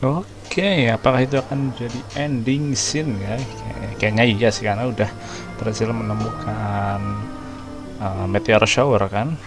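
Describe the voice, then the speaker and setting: A man's voice: fairly low pitch, normal speed, music in the background. A man with an Indonesian accent giving casual let's play commentary on a video game. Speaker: gaming video creator.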